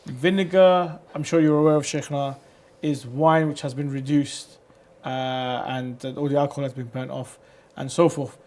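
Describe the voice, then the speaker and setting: Casting: male TV presenter reading viewer questions to a sheikh, lively delivery, a bit high-pitched. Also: moderate pace. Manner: lively, reads messages aloud, stumbles on words. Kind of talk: live call-in Q&A hosting.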